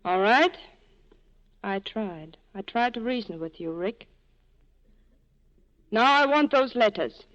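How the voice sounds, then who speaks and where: A desperate woman with a fairly high voice, torn between love and duty. She pleads earnestly in dramatic 1940s radio style, through a dulled old broadcast recording. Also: lively intonation, slow pace, long pauses, a bit bright, muffled recording. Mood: tense resolve.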